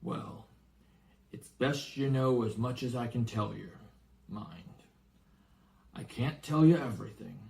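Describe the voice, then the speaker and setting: A man voicing a big, gruff, excitable but kindly giant with a rustic, blustering way of talking, in a storybook reading. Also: medium pitch, slow, long pauses. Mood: anxious, hesitant. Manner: rustic, blustering exclamations and hesitant asides.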